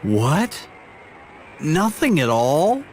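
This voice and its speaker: A man voicing a good-natured, easily flustered young adventurer in a video game, expressive and a little hesitant. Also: a little high, drawn-out words, exaggerated voice. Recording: rain noise behind voice.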